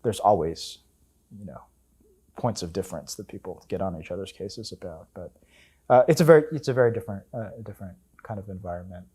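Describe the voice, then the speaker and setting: A man of about fifty telling his family story in an oral history interview, relaxed and full of fillers and restarts. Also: sits low, fairly quick, slightly rough. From United States. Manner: relaxed, many fillers, self-correcting.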